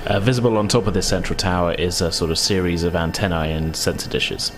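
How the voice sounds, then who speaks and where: A man's voice: sits low, fairly even intonation, fast. A man narrating a tabletop roleplaying game as game master, descriptive and conversational.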